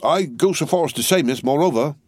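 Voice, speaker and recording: A man's voice: fairly high pitch, lively intonation, a little mellow, husky, no room sound, clean studio sound, voice pushed up. A man doing a solemn, trembling servant. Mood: preaching. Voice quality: ungrammatical working-class speech. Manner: slow, pulpit-like holding forth. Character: elderly solemn male servant, trembling, preachy.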